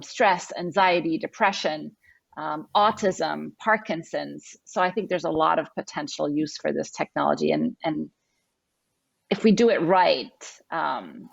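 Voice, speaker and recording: A woman's voice: on the low side, vocal fry, no room sound, dull audio. A woman from Egypt speaking on a panel as a tech CEO, earnest and thoughtful, often restarting her sentences. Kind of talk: panel discussion answers.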